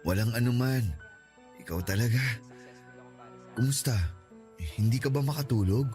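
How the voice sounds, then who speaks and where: A man's voice: mid-range voice, moderate pace, soft background music, close to an everyday voice. A man playing a devoted, anguished boyfriend. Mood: gentle. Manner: gentle and reassuring, rising into tearful begging. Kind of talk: radio drama acting.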